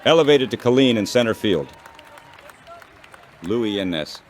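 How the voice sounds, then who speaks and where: Man, baseball play-by-play commentary, very unhurried, short measured phrases, crowd in the background. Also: lowish voice, expressive, a little hiss or hum.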